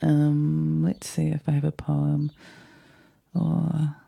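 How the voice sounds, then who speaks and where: A New host speaks alone on air in a croaky, gravelly voice that sits very dark and mellow. The delivery is flat and unhurried, meandering and thoughtful, with a soft-spoken, reflective manner. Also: mid-range voice. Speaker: radio host. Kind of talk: casual reflective solo talk.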